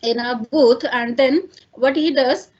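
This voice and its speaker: A woman's voice: sits high, unhurried, a little mellow, husky, muffled recording. A woman with an Indian accent, a native Hindi speaker, giving a lecture and explaining step by step with many fillers and "fine" check-ins. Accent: Indian English. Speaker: teacher. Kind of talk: online lecture.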